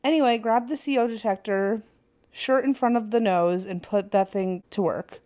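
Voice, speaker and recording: Woman co-host, casual reader, mid-pitch, stumbles and jokes while reading. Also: normal speed, warm tone. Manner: reads aloud with asides and stumbles. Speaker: podcast co-host. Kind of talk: casual podcast chat, reading a forum post aloud.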